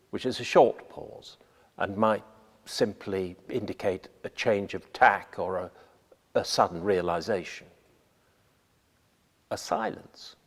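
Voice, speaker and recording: Slow-paced man lecturing in a reverberant space. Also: fairly low pitch. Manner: measured, deliberate, emphatic phrasing. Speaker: radio playwright giving writing advice. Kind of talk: scripted instructional narration.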